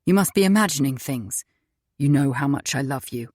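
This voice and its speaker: A woman's voice: extremely low pitch, animated, moderate pace, a little mellow, dry recording, clean studio sound, pitched below the performer's own voice. A woman putting on a moody, controlling husband. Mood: falsely sweet. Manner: curt, dismissive, falsely sweet. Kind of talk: audiobook character voice.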